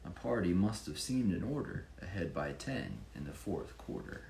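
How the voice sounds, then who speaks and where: A man reads a rhyming picture book aloud, his pitch moving in lively swings. He is emphatic and breaks off to explain things casually to a child. The room is echoey, with faint noise behind him. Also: moderate pace, vocal fry. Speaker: children's author reading own book.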